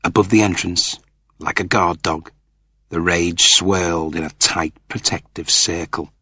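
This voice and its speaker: A man's voice: low voice, steady tone, a little slow, bright, forceful tone, slightly rough, no echo, clean studio sound. A man reading a science fiction novel aloud as a narrator, with theatrical, intense storytelling. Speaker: audiobook narrator.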